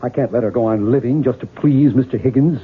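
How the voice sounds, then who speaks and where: Husky, tormented male novelist. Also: mid-range voice. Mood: agitated. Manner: intense, halting, insistent. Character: tormented, obsessive male novelist, stubborn and brooding. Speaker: radio drama actor. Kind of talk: 1970s radio drama acting. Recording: muffled, narrow-band vintage radio recording.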